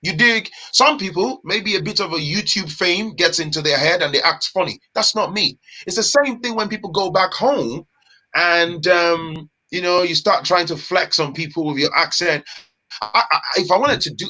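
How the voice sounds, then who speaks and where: Animated man, bright voice. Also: high-pitched, normal speed, low-quality recording. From Nigeria. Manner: animated, emphatic, street slang. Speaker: guest. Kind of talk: casual chat.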